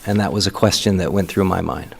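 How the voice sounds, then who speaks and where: A man with a fairly low voice leads a city council meeting, calling roll and moving through the agenda. He speaks haltingly, restarting phrases and filling gaps with "uh" and "um". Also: vocal fry, noisy background. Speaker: mayor chairing a council meeting. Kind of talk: chairing a public meeting.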